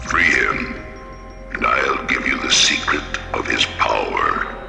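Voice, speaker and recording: Very deep, booming alien overlord. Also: male voice, bright, forceful tone, heavily acted. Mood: ominous, measured. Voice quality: very deep, gravelly. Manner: slow, measured, ominous. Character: ancient, commanding male alien bargaining for a prisoner. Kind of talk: old-time radio science fiction acting. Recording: cavernous echo and reverb, music behind.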